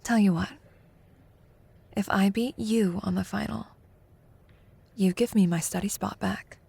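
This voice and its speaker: Young female college rival, played by a woman, audio roleplay. Breathy, slow delivery, teasing and flustered, secretly fond. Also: mid-range voice, steady tone, mellow. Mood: coy, challenging. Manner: teasing, halting, flustered deflection. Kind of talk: intimate audio roleplay acting.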